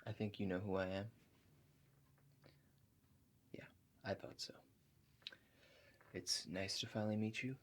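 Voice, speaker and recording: A man doing a softly spoken ASMR roleplay as a calm relaxation agent, hushed and halting, with gentle check-in questions. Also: fairly low pitch. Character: calm, awkward house-call relaxation agent. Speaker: ASMR performer.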